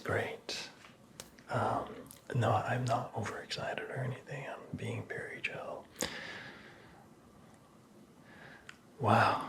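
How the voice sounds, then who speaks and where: A man playing a flirtatious, flustered prince in an ASMR roleplay, speaking in a soft, breathy whisper with halting, teasing phrasing. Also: medium pitch. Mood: flustered, admiring. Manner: halting, teasing, awkwardly self-correcting.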